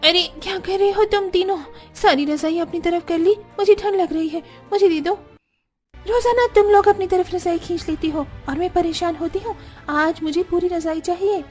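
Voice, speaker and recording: Cartoon brat: actress as lazy young sister-in-law, very high voice, whiny and demanding, music underneath. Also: female voice, moderate pace, darkish tone. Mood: quarrelsome. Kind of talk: Hindi cartoon dialogue acting.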